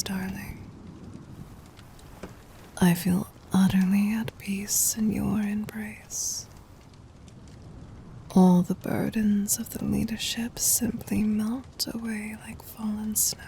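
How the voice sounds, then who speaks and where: A woman playing a gentle, dominant demon queen in an ASMR roleplay, teasing and intimate, in a breathy whisper. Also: medium pitch, flat delivery, a little hiss or hum. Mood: tender, content. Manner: slow, intimate, commanding.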